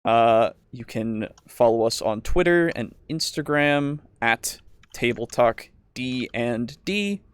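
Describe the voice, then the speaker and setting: Male podcast host, smooth clear voice, dry close sound, informal and rambling. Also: medium pitch, animated, slow pace, no echo. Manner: informal, rambling, prone to chuckling. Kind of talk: casual podcast chat and show sign-off.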